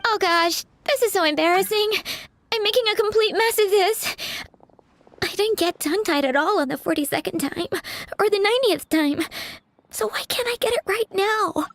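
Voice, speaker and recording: A woman's voice: very high-pitched, normal speed, fairly bright tone, no room sound. A woman voicing a nervous, earnest young female bard in a video game, stammering, hesitant and apologetic. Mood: flustered, dejected.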